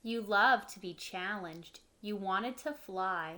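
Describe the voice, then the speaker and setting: A woman's voice: medium pitch, takes it slowly, pushed and bright. A woman in her thirties reading a picture book aloud as a teacher, expressively, adding simple explanations for children. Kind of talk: children's read-aloud with short explanatory asides. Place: living room at home, indoors.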